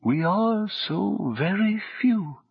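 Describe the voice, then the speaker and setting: A man voicing a mysterious, genderless apparition in a soft, high, almost feminine voice, speaking gently and formally in an audiobook. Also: big pitch swings, creaky, husky, highs cut off, exaggerated voice. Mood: sad, gentle. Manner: slow, gentle, formal, measured.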